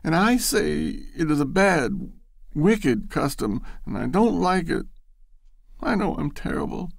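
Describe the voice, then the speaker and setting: A man's voice: fairly high pitch, big pitch swings, unhurried, vocal fry, a little mellow, no room sound, clean studio sound, pitched above the performer's own voice, full character voice. A man doing a tearful, quavering young woman. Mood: distraught, pleading. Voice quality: quavering, sobbing.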